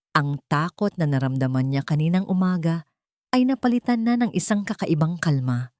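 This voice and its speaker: A woman's voice: fairly low pitch, warm tone, dry recording, clean studio sound. A woman reading scripted dramatic narration of a romance story as a storyteller.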